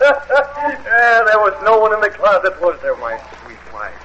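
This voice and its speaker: An actor playing a cruel, vengeful husband in an old radio horror drama, with theatrical, mocking, cackling delivery. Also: male voice, sits high, expressive, takes it slowly. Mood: gloating, maniacal. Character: cruel gloating husband, mad with jealous vengeance. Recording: muffled, hissy old radio drama recording.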